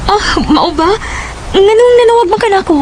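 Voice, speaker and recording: Casting: high-pitched shy, devoted young girlfriend, Cebuano accent, radio serial romance. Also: expressive, moderate pace, darkish tone, a little hiss or hum, low-quality recording. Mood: playful, coy. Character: young sweetheart, shy, devoted, longing for her boyfriend. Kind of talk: Cebuano radio drama acting.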